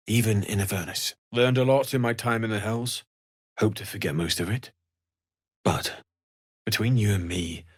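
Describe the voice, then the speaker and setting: A man voicing a kindly, earnest, world-weary blacksmith in a video game, speaking softly and sincerely. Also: lowish voice, husky. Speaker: video game character, smith and merchant.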